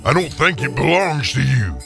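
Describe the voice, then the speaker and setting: Very creaky old man, anxious, pleading, humbled, animated, radio theatre. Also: medium pitch, moderate pace, music in the background. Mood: anxious wonder. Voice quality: croaky old man's voice. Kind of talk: audio drama acting.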